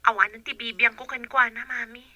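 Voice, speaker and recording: A woman's voice: fairly high pitch, fairly even intonation, a little fast, sharp tone, dry recording. A woman acting a frank young female student in an Ilocano radio drama. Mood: resolute. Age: young adult. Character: young female student, frank daughter confiding in her mother.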